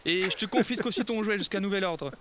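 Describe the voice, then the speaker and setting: A man's voice: high-pitched, quick pace, noisy background, lightly acted. A man playing a wry, exasperated young adventurer with amnesia in a radio play. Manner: wry, exasperated, easily awed. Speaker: lead character.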